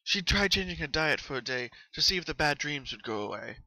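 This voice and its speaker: Very bright, dry-sounding male narrator. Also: on the high side, animated, a little fast, no echo, rough recording. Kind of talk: dramatic reading of horror fan fiction.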